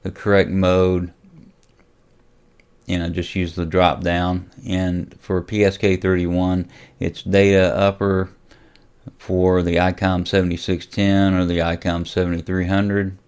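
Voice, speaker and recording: A man hosting a casual, unscripted software tutorial, thinking aloud and hesitating as he goes. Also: low-pitched, monotone, a little slow, clean tone. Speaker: tutorial host and amateur radio hobbyist.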